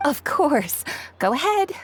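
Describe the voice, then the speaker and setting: High-voiced, animated shopkeeper. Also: female voice, slow, over music, heavily acted. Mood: friendly, businesslike. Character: friendly, businesslike female shopkeeper. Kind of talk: scripted video game character acting.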